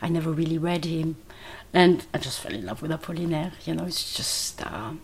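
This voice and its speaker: A woman's voice: deep voice, expressive, brisk, husky. A woman whose first language is French, a writer and translator, chatting as a podcast guest in her kitchen and speaking reflectively with an easy laugh. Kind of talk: casual conversational interview. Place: home kitchen.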